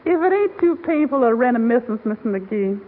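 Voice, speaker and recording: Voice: medium pitch, very animated, fairly quick, phone-quality sound. An actor playing a cheerful household maid in 1940s radio comedy, mixing folksy grammar with pompously learned words, on an old radio recording. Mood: earnest, self-important.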